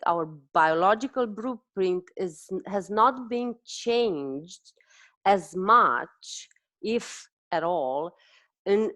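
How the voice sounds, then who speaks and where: A woman's voice: medium pitch, a bit bright, no room sound. Romanian-accented woman, dry close sound. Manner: thoughtful, searching for words with frequent restarts. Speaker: host, dietitian. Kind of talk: conversational interview.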